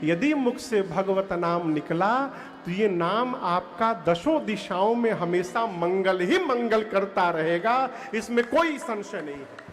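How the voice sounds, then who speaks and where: A man with a Bhojpuri accent giving a devotional discourse, moving between folksy talk and slow sung bhajan lines over soft music. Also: high-pitched, animated. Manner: alternates speech and slow devotional singing, playful folksy asides. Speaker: kathavachak preacher.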